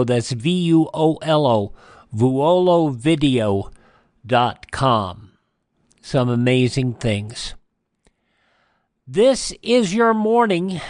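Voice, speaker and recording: Casting: male local radio morning host, slightly high voice, unhurried and measured, dry close studio sound. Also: a little mellow, no room sound. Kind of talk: live local radio announcing.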